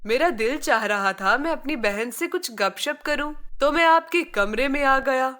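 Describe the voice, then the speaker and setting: A woman playing a boy, a caring older brother who gently admonishes, in a children's moral story. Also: high voice, animated, unhurried, exaggerated voice. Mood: friendly, probing.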